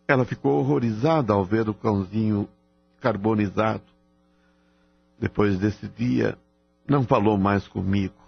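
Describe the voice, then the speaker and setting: Slow, gravelly guilt-ridden father. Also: medium pitch, exaggerated voice. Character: guilt-ridden father confessing a cruel deed. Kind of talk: radio drama acting. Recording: dull lo-fi radio drama, faint music.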